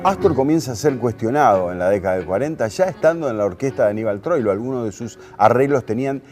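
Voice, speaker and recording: A man's voice: medium pitch, animated, fast. A man with an Argentine Spanish accent hosts a music programme, explaining things and sometimes searching for his words. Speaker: radio host and music programmer.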